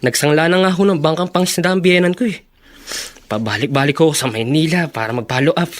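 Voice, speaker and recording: A man's voice: fairly high pitch, a little fast, lightly acted. A man playing a bashful but proud, respectful adult Filipino son in a radio drama. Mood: hesitant, sheepish.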